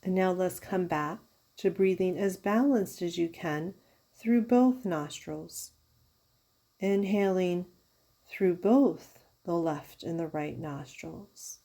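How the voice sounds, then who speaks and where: A woman leading a guided yoga nidra meditation as a teacher, giving soothing, unhurried instructions with pauses. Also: lowish voice, dark tone. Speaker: yoga therapist guiding a meditation.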